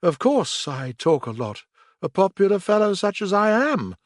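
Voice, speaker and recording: Brief: man putting on a bragging storybook toad, high, bouncy and indignant. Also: normal speed, dry recording, studio quality. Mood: excited. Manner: boastful, excitable and indignant. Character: boastful excitable male toad.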